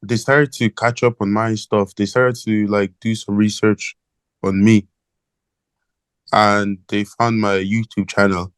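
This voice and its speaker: A teenage man from Ireland with an Irish accent, calling in as an interview guest over the phone and talking in a hesitant, halting way with many fillers. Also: fairly low pitch, level tone, clean tone, no room sound. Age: teens. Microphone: phone call.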